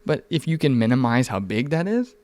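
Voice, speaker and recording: A man's voice: medium pitch, very animated, fairly quick, a little mellow. A man in his mid twenties chatting candidly on a podcast in an informal, rambling, earnest way, with some swearing. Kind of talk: casual, candid podcast conversation.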